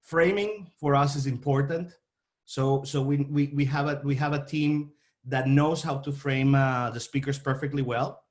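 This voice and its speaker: Halting man explaining his trade. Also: mid-range voice, fairly even intonation, darkish tone. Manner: halting, repetitive, searching for words. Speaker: audio-visual installation company representative. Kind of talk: interview answers, explanatory industry talk.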